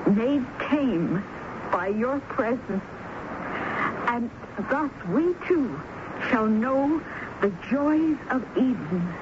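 An actress playing a lovelorn, defiant jilted woman confessing in a 1970s radio drama, with halting, dramatic delivery. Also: a little high, animated. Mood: dreamy, wistful. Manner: dramatic, halting, repeats words for emphasis. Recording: dull, noisy 1970s radio broadcast recording.

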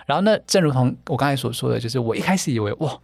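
A man with a Taiwanese Mandarin accent, a podcast guest who explains eagerly and keeps affirming with quick repeated words. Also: medium pitch, expressive, creaky, no echo. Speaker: guest, founder of dating events. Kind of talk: podcast interview.

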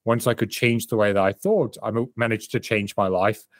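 A man's voice: on the low side, steady tone, moderate pace, dry recording, studio quality. A man in his thirties from South Africa, a performance coach and author answering interview questions in a candid, reflective way over a remote call.

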